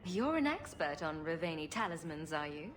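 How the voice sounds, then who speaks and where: A woman's voice: low voice, very animated, moderate pace, long reverb tail. An actress playing a sarcastic female rogue adventurer in a video game, flippant and dryly humorous. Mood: teasing, awkward. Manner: flippant, dry humour. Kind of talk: dramatic video game dialogue.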